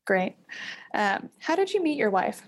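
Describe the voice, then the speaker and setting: A woman's voice: on the high side, sing-song, unhurried. A woman hosting a business podcast, bubbly and laughing often between questions. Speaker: podcast host. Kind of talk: podcast interview.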